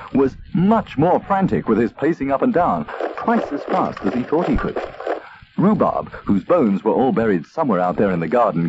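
Hugely animated male children's storyteller. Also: medium pitch. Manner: expressive, theatrical. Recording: dull tape transfer, faint noise.

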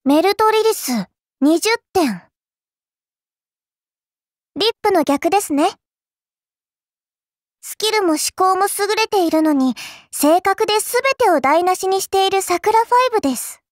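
A small girl AI servant from an anime mobile game, with a very high, childlike pitch. She speaks in sweet, polite phrases with a prim, smug, appraising edge. The recording is dry, with no room sound. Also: expressive, unhurried, pauses often, no echo.

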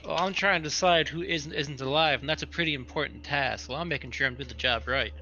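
A man's voice: on the high side, quick pace, gravelly, sharp tone. A man playing a tabletop roleplaying game, casually explaining what his character does. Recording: dull lo-fi sound, faint noise.

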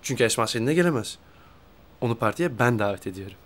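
An actor playing a brisk, scheming office colleague in a TV drama. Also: male voice, mid-range voice, animated, fairly bright tone, close to an everyday voice. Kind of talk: TV drama acting. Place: office, on set.

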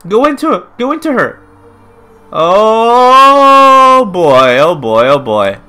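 Male gaming YouTuber: slow, bright delivery, emotional casual reactions. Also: high-pitched, big pitch swings, soft background music. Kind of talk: casual let's play commentary.

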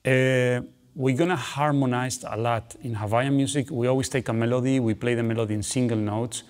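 A man, explaining guitar technique in a relaxed, chatty way, often ending phrases with "okay" and "you know". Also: medium pitch, fairly quick. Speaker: guitar teacher. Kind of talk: instructional explanation between playing. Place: dark studio, seated with guitar on lap. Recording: long reverb, guitar playing between phrases.

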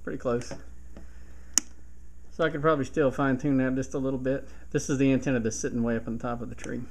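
A man's voice: medium pitch, steady tone, quick pace. A man giving an informal hands-on tutorial as a radio hobbyist, recorded indoors at a home bench. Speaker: hobbyist demonstrating CB radio gear. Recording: noticeable background hiss and radio static.

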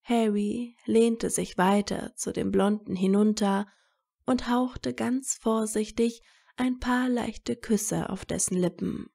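A woman reading scripted romantic fiction as a narrator, with a measured storytelling delivery. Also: medium pitch, steady tone, creaky, dark tone.

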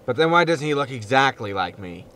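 A man's voice: mid-range voice, sing-song, brisk, bright, forceful tone, slight background noise. A man playing a grumpy, self-excusing spaceship captain in a sci-fi comedy audio drama, sardonic and grumbling. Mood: irritated.

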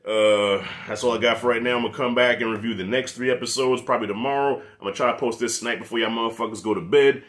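A man in his thirties with a New York accent, giving a casual, profane, animated review rant to camera in his living room. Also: medium pitch, fast talker, few pauses. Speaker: movie reviewer.

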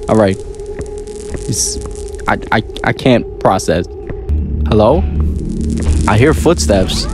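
A young man giving casual, joking, exclamatory commentary on a horror game in slangy speech. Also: medium pitch. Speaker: gamer making videos.

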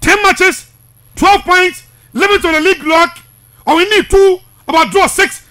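A man's voice: very high voice, a little slow, bright, forceful tone. A man in his thirties with a Ghanaian accent giving heated sports commentary, emphatic, insistent and repetitive, mixing languages at a studio microphone. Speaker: sports radio presenter. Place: small radio studio. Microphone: studio microphone close up.

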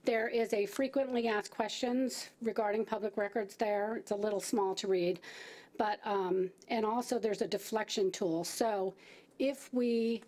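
Middle-aged woman at a podium mic, warm mellow tone, chatty briefing w/ lots of ums. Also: medium pitch, fairly even intonation, measured pace. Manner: conversational, with fillers and restarts. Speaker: city clerk briefing council. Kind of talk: staff briefing at council meeting. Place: council chamber, at a podium. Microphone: podium microphone.

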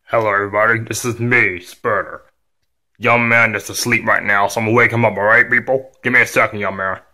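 A man's voice: fairly low pitch, fairly even intonation, a little fast, bright, forceful tone, pitched below the performer's own voice. A man playing a stern, weary father who scolds and admonishes his young son in a homemade story. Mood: calm, firm.

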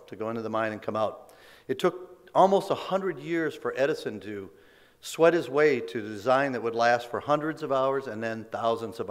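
A middle-aged man with a hoarse voice gives an award acceptance speech from a podium microphone. He works through prepared remarks, stumbling and restarting now and then, in a mid-pitched voice. His words ring with the long reverb of a big banquet hall. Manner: delivers prepared remarks with small restarts and fillers. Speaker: company leader accepting a business award. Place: large indoor banquet hall stage.

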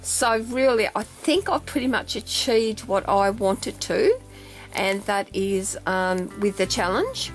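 A woman's voice: mid-range voice, lively intonation, slow, faint music behind, poor audio. A woman chatting casually on camera as she shows her craft album, with lots of ums and "okay?" checks. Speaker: craft vlogger. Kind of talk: casual show-and-tell craft video. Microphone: camera mic at a tabletop, hands only in shot.

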